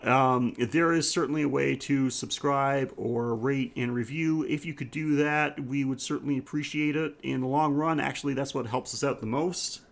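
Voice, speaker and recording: A man's voice: medium pitch, steady tone, normal speed, creaky, few pauses. A man co-hosting a podcast, chatting casually through the show's sign-off and listener plugs. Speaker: podcast co-host. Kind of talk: casual podcast outro chat.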